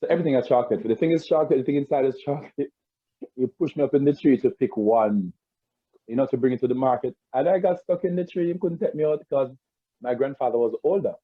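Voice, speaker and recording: Husky Jamaican man on a tinny call. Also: medium pitch, quick pace, no room sound. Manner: rambling, many restarts and repeated words. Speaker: guest. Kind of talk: casual interview storytelling. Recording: tinny phone-like call audio.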